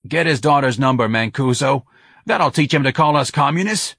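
A man voicing a loud, exasperated police sergeant who scolds a junior officer. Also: mid-range voice, animated, normal speed, vocal fry, breathy edge, no room sound, studio quality, pitched above the performer's own voice, full character voice. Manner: yelling, scolding.

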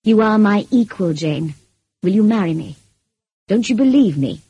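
A woman voicing a commanding gentleman master in an audiobook romance, teasing and intense, with a measured, insistent manner. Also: medium pitch, animated, husky, dry recording, muffled recording. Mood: earnest wooing.

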